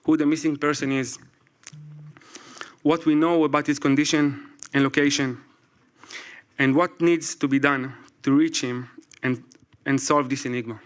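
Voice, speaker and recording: A man in his forties with an Israeli accent, a native Hebrew speaker, reading a prepared talk from his phone to a live audience. He speaks carefully, with pauses and apologies. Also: mid-range voice, steady tone. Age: 40s. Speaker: speaker at a public event. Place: event stage before a live audience.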